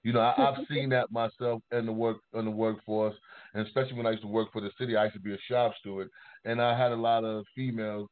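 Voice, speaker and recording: A man's voice: fairly low pitch, little pitch movement, quick pace, no echo. A man hosting a casual interview, asking loose, rambling questions in a conversational way. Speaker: interview host. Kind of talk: casual chat. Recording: thin telephone line.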